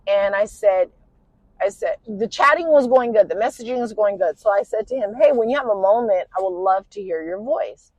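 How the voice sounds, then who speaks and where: Tired woman with a husky smoky voice. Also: mid-range voice, lively intonation, normal speed, darkish tone, dry recording, low-quality recording. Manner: rambling, with many "you know" fillers. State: tired, late at night. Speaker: podcast host. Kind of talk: casual storytelling. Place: inside a car while driving.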